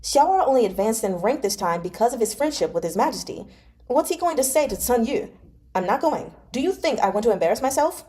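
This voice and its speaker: A woman's voice: fairly high pitch, lively intonation, fairly quick, dark tone, close to an everyday voice. A woman reading a novel aloud as a sulky, reluctant nobleman who grumbles dismissively. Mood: sullen, irritated. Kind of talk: dramatized audiobook-style reading of a novel.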